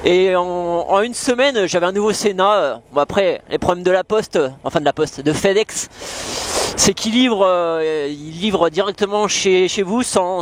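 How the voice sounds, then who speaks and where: Young man, high-pitched voice, casual hesitant motovlogger, slangy, helmet mic, clearly noisy outdoor ride. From France. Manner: slangy, hesitant, thinking aloud while riding. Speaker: motorcycle vlogger. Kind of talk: casual chat. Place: outdoors on a moving motorcycle. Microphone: helmet intercom microphone. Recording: clear vehicle and wind noise, helmet intercom.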